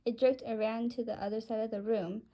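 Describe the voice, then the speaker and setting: A woman's voice: fairly high pitch, fairly even intonation, fairly quick, mellow. A woman narrating a scripted first-person story, reading it aloud.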